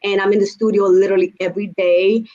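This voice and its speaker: A young woman from the Dominican Republic, chatty and animated, talking as a guest on a casual video-call interview from her home studio. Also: medium pitch, fast, dull audio. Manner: animated, gestures with her hands while talking; chatty, laughs between stories. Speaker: guest singer.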